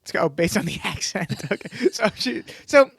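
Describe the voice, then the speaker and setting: A man's voice: sits high, expressive, moderate pace, gravelly, a bit bright, slightly rough, no echo, studio quality. A man in his late twenties, a podcast co-host telling a story in casual chat, acting out what others said with lots of laughs. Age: 20s. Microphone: podcast microphone.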